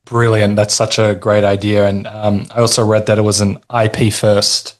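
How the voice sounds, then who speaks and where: A man hosts a casual podcast interview in a low voice. He is upbeat and encouraging, praising his guest's answers before moving on to the next question. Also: little pitch movement, normal speed. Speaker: podcast host.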